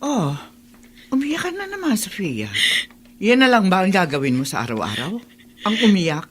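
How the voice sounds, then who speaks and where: A woman's voice: medium pitch, very animated, normal speed, slightly rough. A Filipino actress playing a worried, protective middle-aged mother in a radio drama, speaking hesitantly. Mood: weary concern. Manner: hesitant, stammering. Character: anxious, protective mother of a grown daughter.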